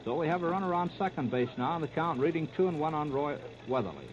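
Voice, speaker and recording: Male 1930s American radio baseball commentator, higher-pitched voice, clipped delivery, heavy noise on a dull old disc. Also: expressive, fast. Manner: clipped present-tense running description. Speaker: baseball play-by-play announcer. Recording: muffled, very noisy 1939 radio disc.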